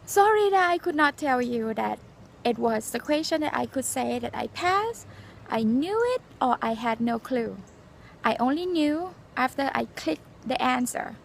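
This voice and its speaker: A woman in her forties from Thailand, speaking English with a Thai accent as a second language, telling her exam story in an earnest, halting way with many fillers. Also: sits high, animated, creaky, a little hiss or hum. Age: 40s. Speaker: nurse sharing exam experience. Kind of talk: casual personal vlog, storytelling and advice.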